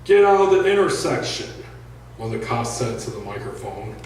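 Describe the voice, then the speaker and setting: A man's voice: medium pitch, sing-song, moderate pace. A man who is an author reads his own first-person story aloud at a live indoor reading. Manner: raises voice for shouted dialogue. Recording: echoey room, distant mic, faint noise.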